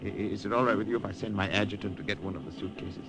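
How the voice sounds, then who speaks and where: A man's voice: lowish voice, very fast, close to an everyday voice. A man with a German accent voicing a genial, probing German field marshal, acted in 1940s radio style. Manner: genial yet interrogating, courtly. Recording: hissy old radio broadcast.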